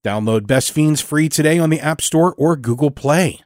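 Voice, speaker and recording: A man reading scripted announcements for a show, with deliberate, measured phrasing. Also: fairly high pitch, a little mellow, no echo, studio quality. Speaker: show announcer and sponsor reader. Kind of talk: scripted narration.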